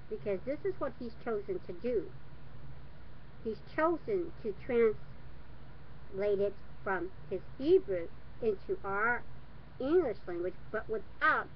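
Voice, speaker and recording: Earnest woman preaching into a webcam. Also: fairly high pitch. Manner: earnest and preachy, with frequent restarts and fillers; nervous about being on camera. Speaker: religious webcam speaker. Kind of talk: unscripted religious monologue to webcam. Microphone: webcam mic. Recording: dull lo-fi webcam audio with faint hiss.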